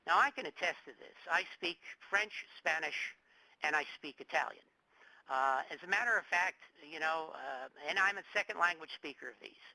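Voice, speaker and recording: A middle-aged man from New Jersey giving a public lecture, talking in a halting way with fillers, restarts and joking asides. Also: a little high, pushed and bright, dry recording, rough recording. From New Jersey, United States. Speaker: guest lecturer.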